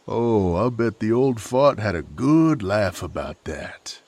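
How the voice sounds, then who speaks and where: A man playing a gruff, sardonic, proud knight in an audio roleplay, with a drawl, folksy slang and a husky, gravelly voice. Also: on the low side, darkish tone, dry recording. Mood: wry, teasing. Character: gruff sardonic rough-bred male knight, proud and hot-tempered. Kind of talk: character audio roleplay acting.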